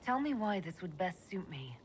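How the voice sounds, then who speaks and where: A woman's voice: very animated, moderate pace. An actress playing a terse, cool, authoritative female mage leader in a video game. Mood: wary, demanding. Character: curt, commanding female elf mage leader. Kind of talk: video game character dialogue. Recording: clear rumbling background noise.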